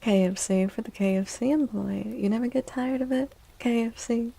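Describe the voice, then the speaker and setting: A woman livestream host chatting in a soft, gentle ASMR style close to the mic, drifting now and then toward a breathy whisper. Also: on the high side, moderate pace. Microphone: close microphone.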